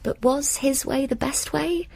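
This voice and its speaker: A woman's voice: high voice, a little slow, creaky, a little mellow, dry recording. An actress playing a puzzled, wry woman who narrates and speaks in a surreal radio drama. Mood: musing.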